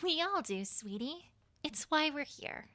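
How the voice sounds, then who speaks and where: A woman's voice: high-pitched, lively intonation, unhurried, pushed and bright, dry recording, exaggerated voice. A woman voicing an earnest, friendly young unicorn mare in a cartoon fan story, chatty among friends. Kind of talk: cartoon fan fiction voice acting.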